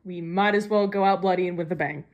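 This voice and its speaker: A woman's voice: on the low side, fast talker, highs cut off. A woman delivering a prepared fan theory message in a playfully theatrical, mock-dramatic manner. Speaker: podcast listener sending a voice message.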